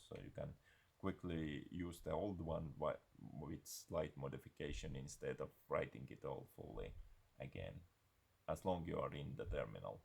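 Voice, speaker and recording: A man giving an unscripted software screencast tutorial in a halting, hesitant way. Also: deep voice, vocal fry, slightly rough.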